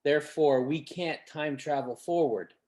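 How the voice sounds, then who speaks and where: A man in a casual panel discussion, pondering out loud in a halting way. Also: a little high. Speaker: cast member on a panel.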